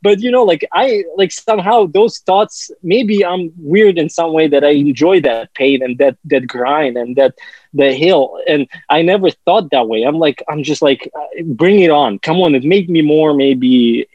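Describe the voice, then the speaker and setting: A man in his forties with a somewhat high voice tells stories in a loose, rambling way, restarting sentences and laughing as he goes. The sound is close and dry, with no room around it. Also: measured pace, darkish tone, no room sound, dull audio. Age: 40s. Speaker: guest, former ski racer. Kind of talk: casual podcast chat.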